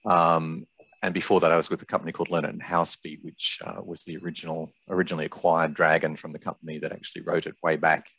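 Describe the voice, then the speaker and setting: A man's voice: low voice, flat delivery, fast, vocal fry, fairly bright tone, husky. A middle-aged man with an Australian accent, giving a conversational presentation over a video call with wry, self-deprecating asides. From Brisbane, Australia. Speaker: webinar presenter, sales director. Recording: muffled, roomy video-call audio.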